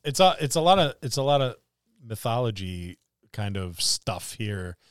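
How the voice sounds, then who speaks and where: Male podcast co-host, smooth and resonant, casual and joking, given to rambling; close, dry sound. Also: mid-range voice, sing-song, normal speed, dry recording. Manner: rambling, jokey. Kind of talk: casual chat about tabletop roleplaying.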